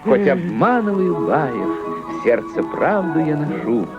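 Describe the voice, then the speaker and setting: A man's voice: fairly high pitch, big pitch swings, a little slow. A man playing a roguish, earnest folk hero delivering musical verse in a cartoon. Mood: proud, earnest. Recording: dull soundtrack under loud music.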